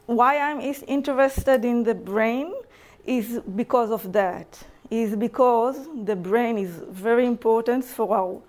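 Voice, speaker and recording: Israeli woman lecturing in halting English. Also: fairly high pitch, darkish tone. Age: young adult. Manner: halting, self-correcting non-native English with restarts. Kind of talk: public lecture. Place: lecture room.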